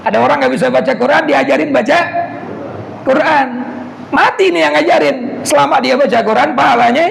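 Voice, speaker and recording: A hoarse man, preaching a casual, joking Quran lecture and often asking his congregation to agree. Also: very high voice, fairly quick, a bit bright. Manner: joking, asks the audience "betul nggak?". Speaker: preacher giving a Quran commentary class. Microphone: speaker system. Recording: echoey hall with faint noise.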